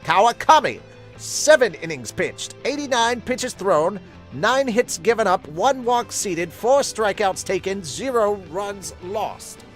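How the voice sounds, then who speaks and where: A man's voice: high voice, very animated, slow, faint music behind, pitched above the performer's own voice, full character voice. A man voicing a formal sports announcer who reads out game statistics in clipped, emphatic lists. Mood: emphatic, announcing. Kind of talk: announcer-style scripted recitation.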